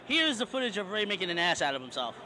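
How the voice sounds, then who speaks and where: A man's voice: high-pitched, big pitch swings, quick pace, a loud crowd, poor audio. A man hosting a casual fan video, chatting upbeat and introducing clips. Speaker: host. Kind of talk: casual panel chat.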